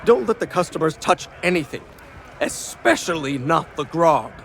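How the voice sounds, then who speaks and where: A man's voice: a little high, big pitch swings, normal speed, vocal fry, fairly bright tone, a little hiss or hum, full character voice. A man playing a bossy, harried tavern cook in a video game, brusque and impatient. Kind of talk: video game character acting.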